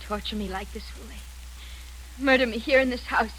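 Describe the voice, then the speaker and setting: An actress playing a shrill, hysterical captive girl. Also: fairly even intonation, moderate pace, a bit bright, slight background noise, natural voice, low-quality recording. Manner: shrill, frantic, pleading outbursts. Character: frightened young woman held captive, hysterical. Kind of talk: 1940s radio acting.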